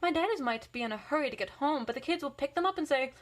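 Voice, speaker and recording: Anxious little storybook girl, played by a woman, high voice, fretful and emphatic. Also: animated, quick pace. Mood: worried. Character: anxious, earnest little girl narrating her day.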